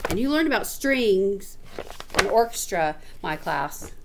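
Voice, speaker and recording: Slow-paced, animated woman reading aloud. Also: medium pitch, a little hiss or hum, poor audio. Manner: animated, chatty, explains words to children. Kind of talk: children's picture book read-aloud with casual asides.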